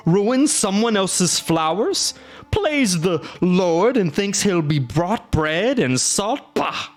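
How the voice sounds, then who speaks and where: A man's voice: a little high, pitched above the performer's own voice. A man voicing a huge, bear-headed male monster who roars threats and grumbles indignantly. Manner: roaring, bluster turning to grumbling.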